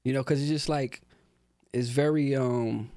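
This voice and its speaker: Man from newark, gravelly voice, dry close sound, laid-back chatty guest. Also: mid-range voice, a little slow, no room sound. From Newark, New Jersey. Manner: laid-back, relaxed street talk with many fillers. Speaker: rapper and guest. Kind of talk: casual interview talk.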